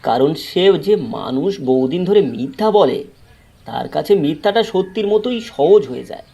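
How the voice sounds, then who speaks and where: A man's voice: a little high, expressive, moderate pace, gravelly, warm tone. A man narrating a scripted horror story in a dramatic, storytelling way.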